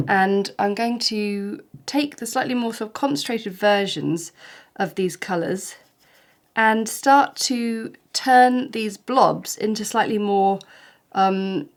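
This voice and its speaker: A woman, a little snuffly with hay fever, talking through a watercolour painting tutorial in a gentle, chatty, encouraging way. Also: medium pitch, slow pace. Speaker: watercolour art teacher.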